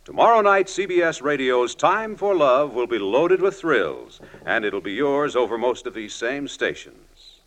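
A man's voice: mid-range voice, sing-song, unhurried, a bit bright. A man working as a network announcer, reading a scripted promo in old-time radio style. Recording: old radio broadcast recording.

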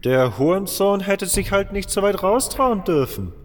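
A man's voice: high-pitched, animated, unhurried, long reverb tail, pitched above the performer's own voice. A man voicing a crude, blunt male digger from a prison mine in an audiobook. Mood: scornful, crude. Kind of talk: audiobook character voice.

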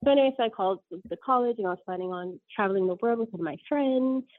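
A woman's voice: medium pitch, fast talker, phone-quality sound. A woman in her thirties telling her story as an interview guest, rambling with many ums and self-corrections.

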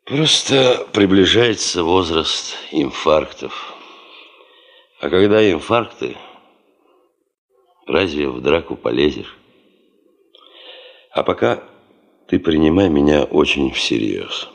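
Radio play: stubborn geologist boss, deep and gravelly, commanding, insistent, terse. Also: male voice, animated, slow pace, pauses often, full character voice. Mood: confident, determined. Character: driven, stubborn male geologist chief demanding money for gold prospecting. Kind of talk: Soviet radio play acting.

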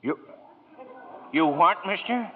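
An actor playing a jumpy, baffled bridge-keeper in a 1940s radio drama, blunt and incredulous, on a noisy, tinny old recording. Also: male voice, sits high, expressive, slow. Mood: puzzled. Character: jumpy, baffled bridge-keeper, easily spooked working man.

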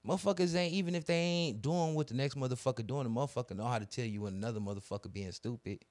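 A man's voice: medium pitch, very fast, darkish tone, no echo. A young man telling a long, profane story in casual podcast chat, acting out both sides of the arguments. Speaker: podcast co-host.

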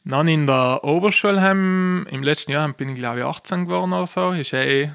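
A man's voice: fairly high pitch, fairly quick, creaky, a bit bright, clear, resonant tone. A man in his thirties with a South Tyrolean accent, telling his own story casually and enthusiastically as a podcast guest, jumping between half-finished sentences. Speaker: podcast guest, professional poker player. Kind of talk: casual chat, personal storytelling.

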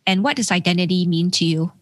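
A woman's voice: on the low side, quick pace, no echo, clean studio sound. Earnest woman podcast host. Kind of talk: scripted intro then interview questions. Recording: intro with clear music behind.

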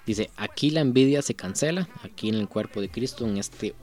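Costa Rican man, earnest casual commentary, lowish voice, faint music behind. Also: lively intonation, brisk, darkish tone. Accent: Costa Rican Spanish. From Costa Rica. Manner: earnest, thinks aloud with many restarts. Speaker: reaction video host. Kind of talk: casual commentary reading out lyrics.

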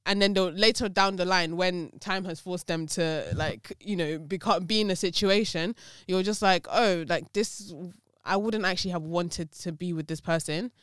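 A reflective young woman in her twenties talks things over in relaxed chat, leaning on "like" and checking in with her listener. Her voice is smooth and clear, and the room sounds dry and close. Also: fairly low pitch, normal speed, hardly any pauses, no echo. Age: 20s. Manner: reflective, lots of "like" and "do you know what I mean". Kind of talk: casual chat.

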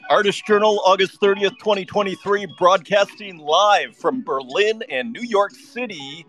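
A man's voice: high voice, fairly bright tone, low-quality recording. A man hosting a live online audio interview, chatty and enthusiastic, often restarting his sentences. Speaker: podcast host.

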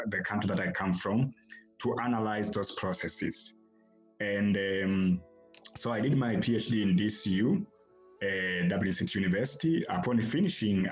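A man from Tanzania, answering podcast interview questions as an academic guest in a halting way, often repeating words as he starts a phrase. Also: fairly low pitch, lo-fi sound.

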